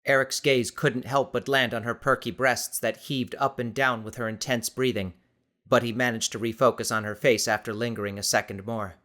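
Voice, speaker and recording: Male audiobook narrator, dramatic scripted read, mid pitch, tense bright tone. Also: steady tone, moderate pace.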